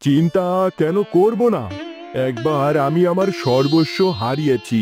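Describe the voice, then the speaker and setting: A man's voice: fairly high pitch, expressive, a little slow, darkish tone, faint music behind. A man playing a brooding, protective king in a dramatic, commanding cartoon style. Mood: anguished, resolute. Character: brooding, protective king haunted by losing his daughter.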